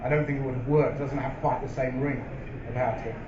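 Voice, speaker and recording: Middle-aged man, on-stage interview, measured storytelling, roomy hall, noisy. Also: mid-range voice, level tone. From England. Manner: measured storytelling with frequent ums and restarts. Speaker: interviewee, company founder. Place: event hall with a live audience. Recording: roomy, dull live hall recording with noise.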